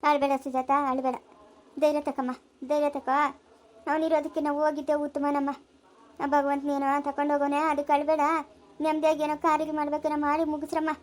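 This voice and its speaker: Casting: woman as practical old village granny, high-pitched, bluntly comforting, rural southern Karnataka Kannada accent. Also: level tone, quick pace, natural voice. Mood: soothing, practical. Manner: blunt, fatalistic, consoling. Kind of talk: village drama acting.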